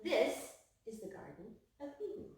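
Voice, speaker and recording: A woman hosting a children's storytime, reading picture books aloud in an expressive, sing-song way to a small child. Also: on the high side, moderate pace, reverberant, noisy background.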